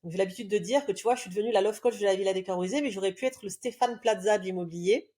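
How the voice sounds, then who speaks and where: A woman in her forties talks about her life on a podcast, with a soft, mellow tone. She speaks reflectively and earnestly, often pausing with hesitations as she searches for words. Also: medium pitch. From southern France. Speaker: love coach guest. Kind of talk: podcast interview, personal storytelling.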